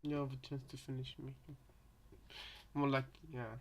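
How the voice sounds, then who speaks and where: A man with a mid-pitched, fairly flat voice gives casual co-commentary on a reaction. He thinks out loud, halting and repeating phrases as he works toward his point.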